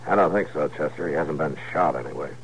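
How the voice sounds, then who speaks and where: An American actor playing a watchful, wry frontier marshal in 1950s radio drama, terse and commanding. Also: male voice, rumbling low, quick pace, vocal fry. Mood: alert. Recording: noisy vintage radio recording.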